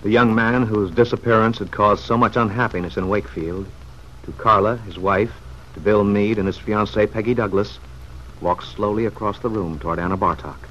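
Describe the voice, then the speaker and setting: A man narrating a radio serial in a measured, formal, 1940s storytelling style. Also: lowish voice, fairly even intonation, fairly bright tone. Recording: dull, noisy vintage radio recording.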